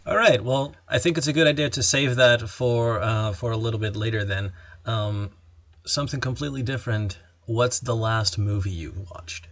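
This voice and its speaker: A man's voice: medium pitch, fairly even intonation, normal speed, a bit bright, no room sound. A man hosting a casual interview, asking playful, joking questions. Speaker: podcast host.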